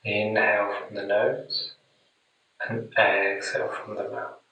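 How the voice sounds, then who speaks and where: A man guiding a meditation in a soothing, deliberate manner with long pauses, speaking gently to the listener. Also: on the low side, flat delivery, dull audio. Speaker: meditation guide. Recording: very echoey room, distant mic.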